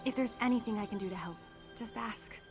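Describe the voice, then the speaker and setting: A woman's voice: on the high side, a little fast, a little mellow, soft background music, close to an everyday voice. An actress playing an admiring female ally in a video game, earnest and eager to help.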